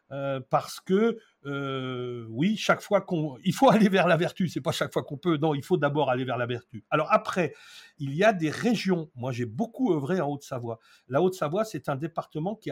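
A man's voice: fairly high pitch, breathy edge. A man in his sixties, an interview guest from France who talks about housing in a reflective way, stalling often and then pressing his points hard. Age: 60s. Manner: hesitant, many restarts, then emphatic bursts.

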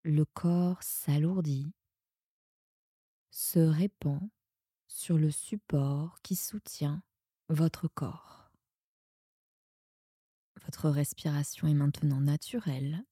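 A woman guiding a sleep meditation in a soothing, hushed, breathy manner that often nears a whisper. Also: deep voice, fairly even intonation, extremely slow, long pauses, dry recording, clean studio sound. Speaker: yoga nidra guide and podcast host.

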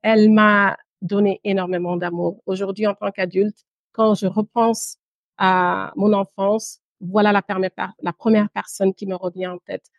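Female interview guest, thirties, mid-pitched, measured storyteller who pauses often; dry sound. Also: level tone, no room sound, highs cut off. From Guinea and Brussels, Belgium. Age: 30s. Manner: measured, pausing, with occasional English words. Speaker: podcast guest, entrepreneur.

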